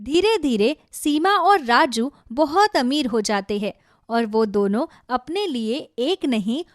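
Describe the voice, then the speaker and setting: A woman's voice: sits high, animated, takes it slowly, no echo, studio quality. A woman reading scripted narration for a cartoon story.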